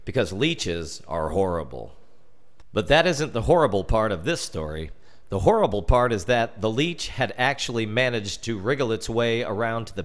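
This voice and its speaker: An American man narrating a scripted children's horror story in a deliberate, dramatic storytelling manner. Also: medium pitch.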